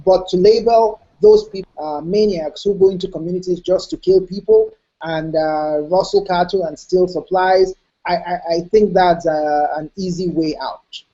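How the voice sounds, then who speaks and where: A middle-aged man answering as a guest in a television interview, speaking in a measured, analytical way with frequent pauses. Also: high-pitched, lively intonation, mellow, lo-fi sound. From Nigeria.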